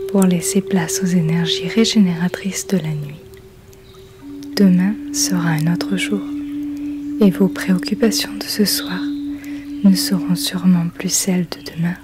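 Slow whispering woman guiding sleep hypnosis. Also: fairly low pitch, fairly even intonation, music in the background. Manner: whispered, breathy, soothing delivery. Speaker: relaxation hypnosis guide. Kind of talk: ASMR guided sleep hypnosis, yoga nidra.

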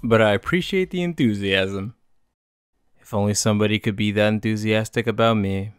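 A man with a fairly low voice narrates his own music video essay in a casual, playful way, sounding keen about the subject. Soft music sits quietly under his voice. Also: moderate pace, smooth, no echo, clean studio sound. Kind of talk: scripted voice-over commentary.

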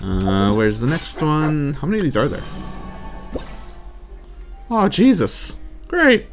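A man giving casual, animated, exclamatory gaming commentary with plenty of swearing. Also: a little high, slow pace. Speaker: let's play streamer. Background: video game music and effects.

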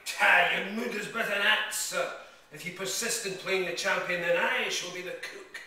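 A man's voice: sits high, moderate pace, pushed and bright. Male actor as mannered antique adventurer, theatrical; very echoey, noisy distant recording. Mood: taunting. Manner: theatrical, archaic.

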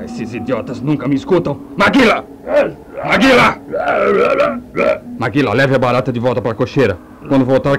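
An actor playing a secretive, easily exasperated mad scientist in a comic radio play, stammering and repeating himself. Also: male voice, mid-range voice, a little hiss or hum, close to an everyday voice. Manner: stammering evasively, repeating words.

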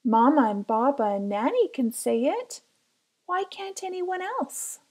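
A woman's voice: sits high, very animated, a little slow. A woman voicing a shy but proud young schoolgirl in an earnest, emphatic storybook read-aloud. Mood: upset. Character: shy young schoolgirl finding pride in her name.

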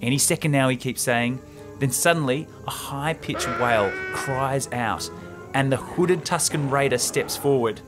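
A man's voice: medium pitch, a little slow, over music. A young man hosting a podcast, retelling a film script casually and with slangy enthusiasm, close to the microphone. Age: young adult. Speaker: podcast host. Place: small room indoors.

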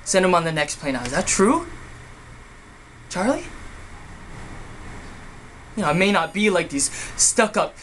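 A man acting a hurt younger brother in an emotional dramatic monologue, speaking in a halting, emotionally raw way. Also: sits high, animated, a bit bright, a little hiss or hum. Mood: hurt, accusing. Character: hurt younger brother pleading with self-centered older brother.

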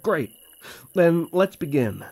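A man playing a teasing, slow-talking elf prince. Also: on the high side, big pitch swings, vocal fry, a little mellow, slightly rough, exaggerated voice. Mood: playful, cajoling. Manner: teasing, cajoling, courtly. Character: playful, teasing young male elf prince, mischievous and cocky. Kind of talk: fantasy audio roleplay acting.

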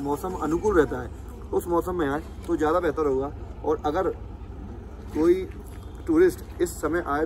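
A man stands a little away from the phone outdoors, earnestly giving travel advice. His voice is a bit high for a man. Clear street noise runs under his words. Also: darkish tone, poor audio. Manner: earnest, advising caution. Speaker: travel companion. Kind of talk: casual interview answers. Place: outdoors by a mountain roadside.

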